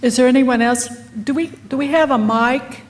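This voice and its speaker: A woman's voice: sits high, normal speed, background noise. A woman hosting a memorial gathering, reading a tribute and addressing those present. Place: memorial gathering at an art center.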